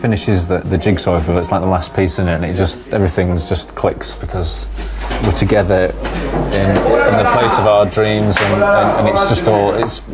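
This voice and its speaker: Low-pitched, halting man over music. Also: steady tone. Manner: halting, sweary, informal. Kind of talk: unscripted documentary talk. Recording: music behind the voice.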